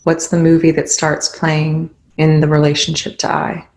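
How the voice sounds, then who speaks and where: A meditation guide leading a guided meditation in gentle, instructional phrases with pauses between them. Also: medium pitch, completely flat delivery, slight background noise.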